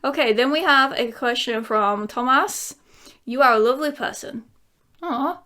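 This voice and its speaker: Casual YouTuber woman, 30s, rambling Q&A chat, laughs easily, slightly higher voice. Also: normal speed, sharp tone. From England. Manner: chatty and giggly, rambling with many fillers; breaks into bits of song. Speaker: YouTuber answering viewer questions.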